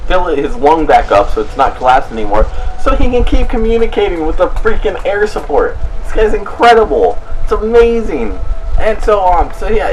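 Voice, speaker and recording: Animated man telling a story, noisy background. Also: on the high side. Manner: excitable, emphatic, slangy; halting, rambling, repeats phrases. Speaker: host of a storytelling video. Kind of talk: casual, unscripted storytelling to viewers.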